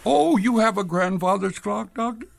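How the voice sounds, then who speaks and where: A man's voice: high-pitched, expressive, normal speed, gravelly, no room sound, faint music behind, full character voice. An actor playing a stubborn, witty old man in a radio drama, wry and evasive, turning questions back. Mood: teasing. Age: older. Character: witty, stubborn old man devoted to his heirloom clock.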